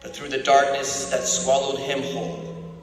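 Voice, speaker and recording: A man giving a dramatic literary reading as narrator, intense and theatrical, with suspenseful pauses. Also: mid-range voice, monotone, faint music behind. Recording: very echoey, reverberant room.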